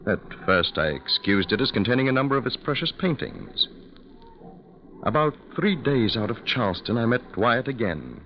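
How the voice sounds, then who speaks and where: An actor playing a curious, concerned gentleman narrator in a 1940s radio drama, formal and measured, on a muffled old recording. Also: medium pitch, sing-song, a little hiss or hum, close to an everyday voice. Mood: reflective, puzzled. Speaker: narrator and lead character. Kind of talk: 1940s radio acting, storytelling.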